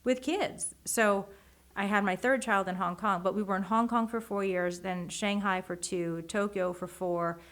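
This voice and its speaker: A woman in her forties whose first language is Japanese, talking as an author in a casual interview, animated and often restarting her sentences. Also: medium pitch, normal speed. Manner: animated, many restarts and self-corrections. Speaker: guest, author.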